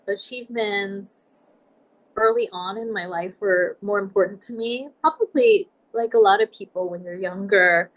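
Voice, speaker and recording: A woman in her forties from Nebraska, a podcast guest telling her life story in a reflective, measured way. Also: mid-range voice, fairly bright tone, poor audio. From United States, Nebraska. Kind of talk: casual interview talk.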